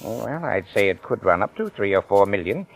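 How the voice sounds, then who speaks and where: An actor playing a greedy, crooked small-town mayor and banker, blunt and folksy, in 1940s radio style. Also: male voice, fairly low pitch, sing-song, fairly quick, rough recording. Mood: guarded, greedy. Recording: vintage radio drama recording.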